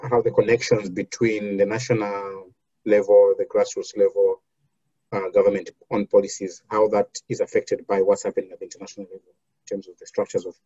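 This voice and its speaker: A man from Zambia, a foundation program officer, answering interview questions in a measured way with many fillers and restarts. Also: fairly low pitch, little pitch movement, dark tone, breathy edge, no room sound, dull audio.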